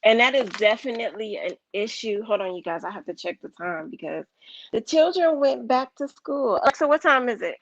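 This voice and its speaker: A woman hosts a casual discussion and speaks in a hurried way, turning to address her listeners directly. The sound is dull and dry, with no room around it. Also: a little high, lively intonation, no echo. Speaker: podcast host.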